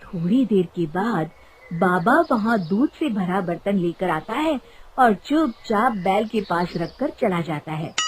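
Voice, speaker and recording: A woman's voice: medium pitch, a little mellow. A woman reading scripted narration for a moral storybook cartoon.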